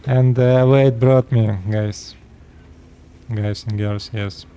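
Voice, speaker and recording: A 36-year-old man from Russia with a Russian accent, speaking halting second-language English as he talks casually to his viewers. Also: mid-range voice, steady tone, warm tone, clean tone. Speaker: vlogger. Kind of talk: casual personal talk to camera.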